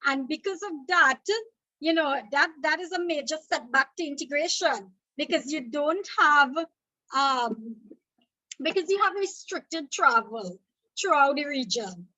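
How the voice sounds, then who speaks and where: A woman's voice: high voice, lively intonation, measured pace, sharp tone, no echo, low-quality recording. A woman from Trinidad and Tobago with a Trinidadian accent, teaching an exam revision lecture, explaining points step by step and checking in with "okay?".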